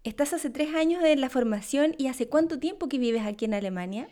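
A woman's voice: high-pitched, lively intonation, a little fast, mellow. A woman speaking as a host, moving between scripted introduction and interview questions.

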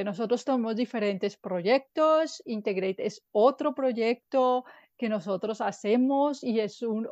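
A woman from Colombia, a guest from a nonprofit, answering interview questions in a measured, explanatory way with fillers and restarts. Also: a little high, dry recording, muffled recording. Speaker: guest from an NGO.

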